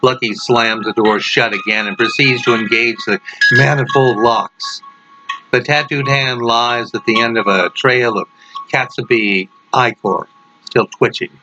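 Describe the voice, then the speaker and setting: A man reading a dark horror story aloud as its author, in a theatrical, dramatic delivery. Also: mid-range voice, steady tone, measured pace, music in the background. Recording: noisy, dull lo-fi home recording.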